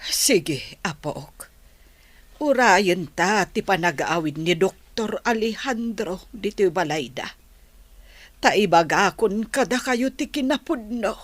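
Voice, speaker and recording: A woman playing a worried, apologetic grandmother with a secret in an audio drama, speaking haltingly and pleadingly. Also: mid-range voice, lively intonation, no echo. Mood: remorseful, pleading. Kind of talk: dramatic audio story acting in Philippine language.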